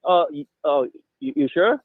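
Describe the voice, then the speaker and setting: A man's voice: a little high, animated, normal speed, no room sound. A man from Haiti calling in, talking hesitantly with many stammering restarts. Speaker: caller. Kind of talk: casual debate. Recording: tinny phone line.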